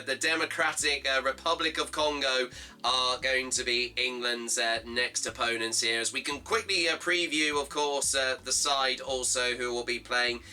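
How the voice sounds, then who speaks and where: A slightly hoarse man hosting a fan football show, reading out results and previewing a match in a halting way, full of fillers and reading off his notes. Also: mid-range voice, bright, forceful tone.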